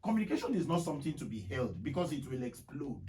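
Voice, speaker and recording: A man's voice: a little high, sing-song, quick pace, a little mellow. A Nigerian man in his thirties explaining his opinions in a discussion, emphatically, building lists and repeating phrases. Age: 30s. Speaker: radio talk show guest. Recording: slightly distant mic, faint noise.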